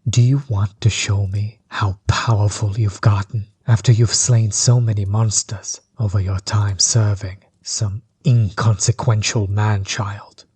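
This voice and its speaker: A menacing sorcerer overlord, acted by a man, speaks in a low, dark and very husky voice. He is measured and coldly taunting, a bitter villain in an audio roleplay whose words often sink toward a breathy whisper. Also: level tone. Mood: bitter, mocking.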